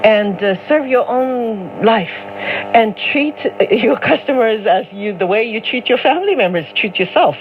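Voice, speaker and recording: Animated woman on noisy shortwave radio. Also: a little high. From China. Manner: halting, repeats words while searching for phrasing. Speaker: radio talk show panelist. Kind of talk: unscripted panel discussion. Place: radio studio, heard over shortwave. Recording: noisy muffled shortwave radio broadcast with hum.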